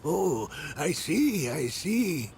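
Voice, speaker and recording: An actor playing a frail, kindly old man in a video game, with a worn voice and slow, halting speech. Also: a little high, sing-song, darkish tone, soft background music, exaggerated voice. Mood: gentle, weary. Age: older. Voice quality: creaky, worn.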